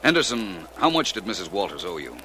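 An actor playing a calm, assured private detective in a 1940s radio drama. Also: male voice, low voice, a little fast, heavily acted. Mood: cool, probing. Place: radio studio. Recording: slightly noisy vintage radio recording.

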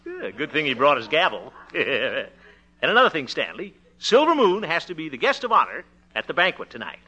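An actor playing an excitable, good-hearted small-town man in a theatrical 1950s radio comedy. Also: a little high, normal speed, breathy edge, highs cut off, full character voice. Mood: smug, teasing. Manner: theatrical, exclaiming, teasing. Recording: noisy 1950s radio recording with clicks.

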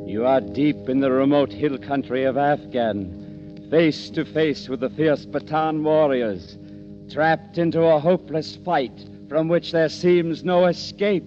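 Dark, high-set male radio announcer. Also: measured pace. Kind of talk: dramatic 1940s radio narration. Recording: dull old radio broadcast with music.

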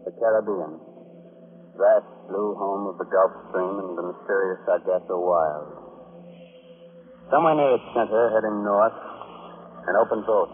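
A man hosting and narrating a 1940s radio mystery with sinister, tongue-in-cheek theatricality and pointed pauses. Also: fairly low pitch, phone-quality sound, slight background noise. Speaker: horror anthology host and narrator. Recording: band-limited old radio recording. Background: dramatic music.

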